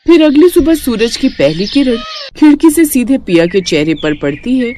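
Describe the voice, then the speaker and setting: A woman's voice: a little high, very animated, moderate pace, dark tone, slight background noise. A woman narrating a scripted cartoon story as its storyteller.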